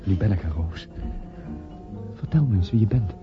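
Guy playing lovestruck romantic man, low voice, dull old radio play recording. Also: expressive, moderate pace, lightly acted. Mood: tender, questioning. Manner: tender, wondering. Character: enraptured, searching romantic suitor in a bar. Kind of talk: romantic radio drama acting. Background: loud music.

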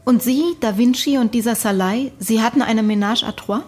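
Eine Schauspielerin spielt im Hörspiel eine gefasste Psychologin, sachlich und nachfragend. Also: female voice, fairly high pitch, normal speed, a little mellow, lightly acted. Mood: composed, probing. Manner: matter-of-fact, probing. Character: composed, clinical female psychologist. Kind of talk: radio drama dialogue.

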